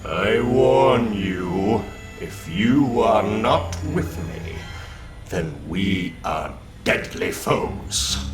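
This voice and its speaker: A man's voice: lowish voice, expressive, drawn-out words. A man voicing an arrogant, scheming supervillain in a comic book dramatic reading, pompous, theatrical and cold. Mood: menacing, imperious. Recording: roomy echo under loud background music.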